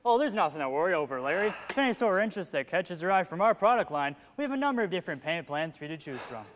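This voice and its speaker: Man acting a high, fast-talking, pushy salesman. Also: sing-song, a bit bright. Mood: smooth selling. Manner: glib sales patter, talks over objections. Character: relentlessly upbeat, pushy male telemarketing salesman of the future. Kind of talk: comic stage play acting. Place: theater stage at a play festival. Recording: echoey stage hall, noticeable hiss.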